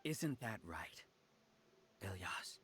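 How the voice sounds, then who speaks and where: An actor playing an arrogant, fanatical scholar villain in a video game, resentful and condescending, with theatrical delivery that drops into hushed menace. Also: male voice, low-pitched, sing-song, drawn-out words, a little hiss or hum, full character voice. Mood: goading, persuasive.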